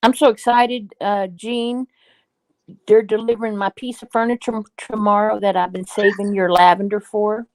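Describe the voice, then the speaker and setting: A woman's voice: mid-range voice, steady tone, normal speed, darkish tone, poor audio. A woman co-hosting a live craft stream, greeting viewers by name and teasing her partner. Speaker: co-host reading viewer comments. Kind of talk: casual live chat.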